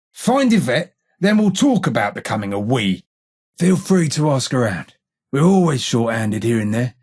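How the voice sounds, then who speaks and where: The husky old ghoul boss you'd find holding court in an East London gang hideout in a video game, swearing and sneering in a fairly high-set London growl. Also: male voice. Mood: gruff, wary. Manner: salty, sarcastic Cockney slang. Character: gruff, foul-mouthed, sardonic old London gangster ghoul. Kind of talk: video game dialogue acting.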